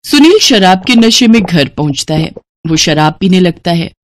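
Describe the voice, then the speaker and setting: A woman's voice: lowish voice, animated, normal speed, slight background noise. A woman reading scripted narration for a cartoon story.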